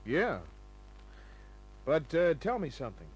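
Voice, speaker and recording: An actor playing a hard-boiled private detective, laconic, breezy and sardonic, in the manner of old-time radio drama. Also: male voice, a little high, huge pitch swings, a little slow, slightly rough, heavily acted. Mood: casual, needling. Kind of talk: old-time radio acting and first-person narration. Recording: noisy humming old radio recording.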